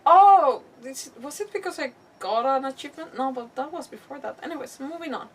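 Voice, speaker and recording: Excitable lady streaming a game, pitch jumps around, sounds a bit far from the mic. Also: fairly quick. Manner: giggly, excitable, chatty. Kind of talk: casual live game reaction commentary.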